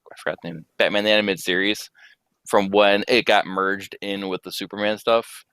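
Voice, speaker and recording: A young adult man chatting casually as a panelist, joking wryly with halting delivery. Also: fairly low pitch, steady tone, fairly bright tone, clear, resonant tone, dry recording. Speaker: panelist on a livestream. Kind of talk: casual chat, riffing.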